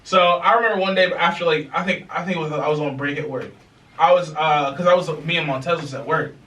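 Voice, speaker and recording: Bright young man telling a story in an echoey room. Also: a little high, fast, slight background noise. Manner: animated, laughing, acts out other people's lines in quotes. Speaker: podcast co-host. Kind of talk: casual storytelling chat. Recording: echoey room, mic a bit far.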